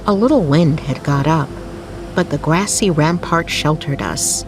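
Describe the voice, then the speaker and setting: Slow, low-voiced woman narrating a bedtime story. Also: music in the background, rough recording. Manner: measured, literary read-aloud delivery.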